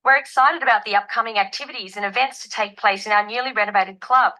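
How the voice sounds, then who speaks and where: Woman acting as bright-voiced upbeat club manager. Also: medium pitch, fast, lightly acted. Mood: upbeat, informative. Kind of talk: scripted announcement in a listening test.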